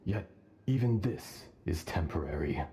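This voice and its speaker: An actor playing an ancient earth dragon spirit speaking through a man, grave and fatalistic, with archaic, deliberate phrasing in a video game. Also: deep voice, lively intonation, dark tone, a little hiss or hum. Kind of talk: video game character dialogue. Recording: game music behind the voice.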